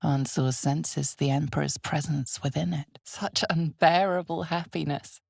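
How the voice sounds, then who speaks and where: A woman performing a solemn, ominous narrator for a dark fantasy game, with a husky, gravelly voice and a measured, deliberate delivery. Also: steady tone, no echo, studio quality, exaggerated voice. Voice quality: husky, breathy, gravelly low voice. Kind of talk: scripted dramatic fantasy game narration in second person.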